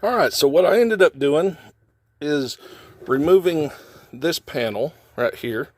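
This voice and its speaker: A man's voice: fairly high pitch, expressive, measured pace, no echo. Man giving a folksy hands-on walkthrough. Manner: folksy, informal phrasing. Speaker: how-to video host, trucker. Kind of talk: casual hands-on tutorial, talking while working. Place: in and around a truck cab.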